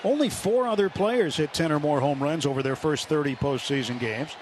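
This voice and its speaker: A man's voice: a little high, normal speed. A man calling live baseball play-by-play as a radio broadcaster. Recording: loud ballpark crowd noise behind. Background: stadium crowd and ambience.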